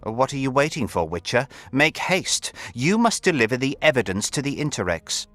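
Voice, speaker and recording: Animated, scheming nobleman. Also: mid-range voice. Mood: feigned concern. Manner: formal, measured, insinuating. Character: smooth, scheming aristocratic count, suavely insincere statesman. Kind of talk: video game voice acting.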